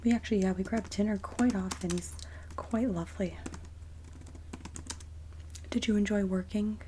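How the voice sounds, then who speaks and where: A woman speaking softly and breathily, often near a whisper, in a gentle, polite ASMR roleplay as a kindly Mrs. Claus. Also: medium pitch, fairly even intonation, moderate pace, slight background noise. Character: kindly Mrs. Claus interviewing a job applicant. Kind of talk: soft-spoken ASMR roleplay interview.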